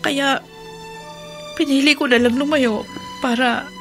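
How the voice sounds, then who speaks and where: An actress playing a troubled, secretive wife and mother in a Filipino radio drama, speaking in a halting, earnest, confessing way. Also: high-pitched, steady tone, fairly bright tone, faint music behind. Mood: pained, earnest.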